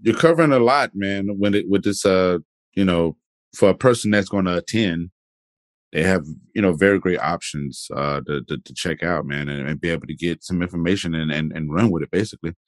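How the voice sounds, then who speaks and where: Laid-back low-voiced podcast host man. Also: brisk, no room sound, clean studio sound. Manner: laid-back, says "man" and "you know". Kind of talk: casual interview chat.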